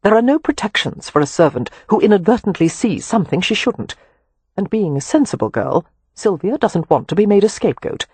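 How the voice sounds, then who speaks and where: An audiobook narrator voicing a prim young governess who speaks with calm, cutting sarcasm. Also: mid-range voice, brisk, breathy edge, no echo, pitched above the performer's own voice. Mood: acerbic. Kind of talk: audiobook character voice.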